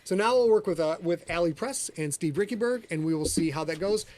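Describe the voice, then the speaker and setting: A man hosting a livestreamed podcast, reading his own fiction aloud and chatting in a quick, energetic, profane, joking way. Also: sits high. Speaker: author and podcast host. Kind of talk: livestream chat and story reading. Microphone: livestream camera setup.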